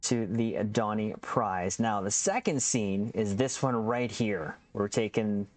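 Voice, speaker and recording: A man's voice: on the low side, takes it slowly, slight background noise. A man hosting a casual game build walkthrough, upbeat and chatty, addressing his viewers as captains. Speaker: gaming channel host.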